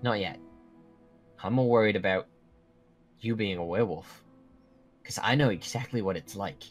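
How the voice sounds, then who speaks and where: A man's voice: fairly low pitch, normal speed, close to an everyday voice. A man playing a worried, protective young werewolf in an improvised Minecraft roleplay, talking in a hesitant, explaining way. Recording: music under the voice.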